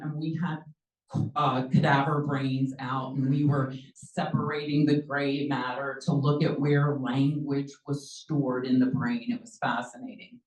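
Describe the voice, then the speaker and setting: Middle-aged lady teacher giving a talk, low voice, lots of ums, echoey room. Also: a little mellow, recorded from a distance. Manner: conversational, many ums, acts out quoted dialogue. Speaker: presenter, language teacher. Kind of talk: lecture presentation. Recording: echoey room, mic from afar.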